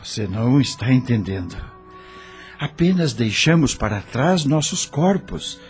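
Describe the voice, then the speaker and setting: An actor with a Brazilian accent playing a dejected, lovelorn widowed brother in an emotional radio soap opera. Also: male voice, mid-range voice, very animated, measured pace, husky, heavily acted. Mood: hesitant, searching. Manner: emotional, theatrical delivery. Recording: music under the voice.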